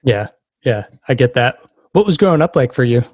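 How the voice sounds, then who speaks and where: A man's voice: medium pitch, lively intonation, brisk, darkish tone. Easygoing American male podcast interviewer. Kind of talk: casual interview chat.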